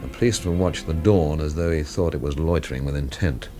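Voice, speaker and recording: An actor playing a weary, sardonic amateur investigator in a radio mystery, narrating and questioning in a dry, wry way. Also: male voice, very deep voice, brisk, over music. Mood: brooding, bleak. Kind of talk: radio mystery drama acting and noir narration.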